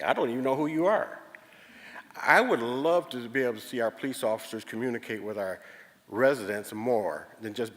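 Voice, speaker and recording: A man's voice: mid-range voice, a bit bright. An older man giving public comment at a meeting, recounting his experiences in an earnest, plainspoken way. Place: large public meeting hall. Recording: reverberant hall.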